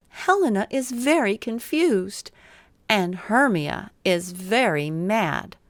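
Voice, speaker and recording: A woman narrating a scripted bedtime story for children in a measured, simple storytelling way. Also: medium pitch, a little mellow, dry recording.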